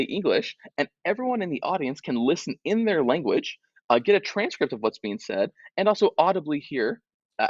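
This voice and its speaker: A man pitches his company's product with a lively, rising-and-falling voice, upbeat and quick to repeat words. His audio comes through dull and very dry, with no room sound, as if on a remote link. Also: dry recording. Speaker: tech company guest.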